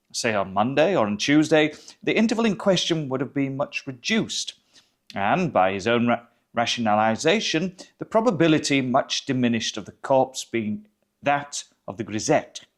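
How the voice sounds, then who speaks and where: Man reading aloud with animated, swooping pitch. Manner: formal, emphatic, measured argumentative delivery. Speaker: reader of a short story. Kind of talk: dramatic literary reading aloud.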